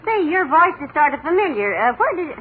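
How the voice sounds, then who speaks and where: An actress playing a patient, dryly teasing housewife in a 1940s radio comedy. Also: female voice, sits high, very animated, fairly quick. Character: patient, dry-witted housewife. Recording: noisy 1940s radio broadcast, lo-fi.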